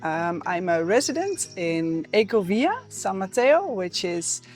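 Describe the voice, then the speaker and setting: A woman's voice: medium pitch, big pitch swings, measured pace, darkish tone, faint music behind. A woman from the Netherlands with a Dutch accent, interviewing a school director in a friendly way with some hesitations. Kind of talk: conversational interview.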